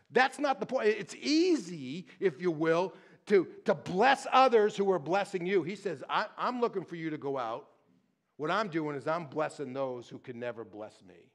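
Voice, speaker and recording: Conversational middle-aged man preaching. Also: high voice. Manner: conversational, animated, frequent restarts and "right?". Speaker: pastor. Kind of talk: sermon. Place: church stage, indoors.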